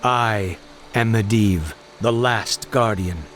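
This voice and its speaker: An actor playing a mysterious, commanding male prophet wizard in a video game cinematic, measured and portentous. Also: on the low side, a bit bright, slight background noise. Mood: grave, portentous.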